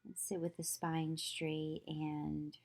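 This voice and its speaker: A woman guides a meditation in a low, mellow voice with a very flat, even pitch. She speaks in short, soothing phrases with long pauses between them, like a yoga teacher leading deep relaxation. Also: creaky.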